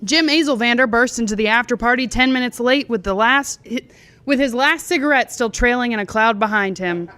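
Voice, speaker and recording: A woman's voice: on the high side, brisk, bright, forceful tone. A drunk woman reading a bawdy comic story aloud as a storyteller.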